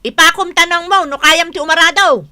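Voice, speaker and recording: A woman's voice: very high-pitched, brisk, sharp tone, dry recording, full character voice. A woman with an Ilocano accent playing a nagging, exasperated farmer's wife and mother in a comedy radio drama, scolding and exclaiming. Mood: scolding, alarmed.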